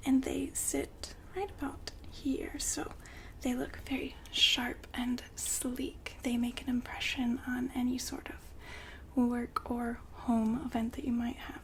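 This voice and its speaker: A woman whispering softly and breathily in an ASMR roleplay, playing a gentle, attentive shop consultant. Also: fairly high pitch. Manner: gentle, attentive customer-service patter. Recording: faint background noise and ambience.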